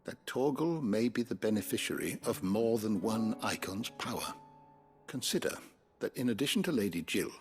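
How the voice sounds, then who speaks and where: An actor playing a courteous, scholarly older gentleman in a game, with a British accent and formal, deliberate phrasing. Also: lowish voice, lively intonation. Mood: pensive, courteous. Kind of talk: video game cutscene acting. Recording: soft music behind the dialogue.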